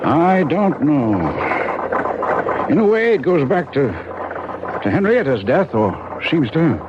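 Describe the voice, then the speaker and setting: A man's voice: a little high, sing-song, slow. A man playing a weary, troubled small-town doctor who feels his age, speaking plainly and folksily in a 1970s radio mystery. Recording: dull, noisy old radio recording.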